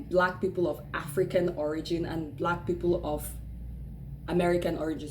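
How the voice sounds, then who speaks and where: Woman, nigerian accent, slightly low voice, chatty vlogger, lots of fillers, quick to laugh. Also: a little mellow, slight background noise. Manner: chatty and candid, with frequent "like" and "um" and restarts; laughs easily and acts out quoted voices. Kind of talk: casual personal vlog talk.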